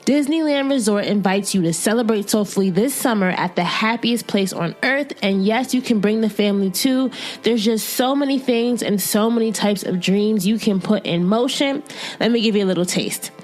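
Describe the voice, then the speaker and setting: A woman's voice: mid-range voice, moderate pace, darkish tone. A young adult woman hosting a podcast, chatting easily with her guest and also reading an ad. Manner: conversational, encouraging interviewer. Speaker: podcast host. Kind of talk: casual interview chat and ad read.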